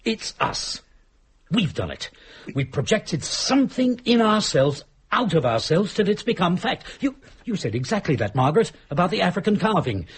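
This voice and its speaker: An agitated, obsessive man in a radio drama. His voice is very husky and a little high for a man. He presses his point earnestly and repeats himself. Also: very animated, normal speed, full character voice. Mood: feverish conviction. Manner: earnest, insistent, repetitive. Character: agitated, obsessive man convinced of supernatural cause. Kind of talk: dramatic radio play acting.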